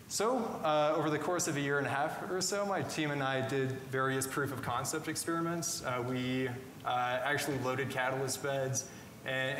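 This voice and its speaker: A man in his thirties, a scientist with a slightly raspy voice, giving a conference talk with steady explanation and many "uh" fillers. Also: mid-range voice, slight background noise. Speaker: research scientist presenting. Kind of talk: conference technology presentation. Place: hotel ballroom with seated audience. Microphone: room PA, captured by far camera. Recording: echoey hall from far off.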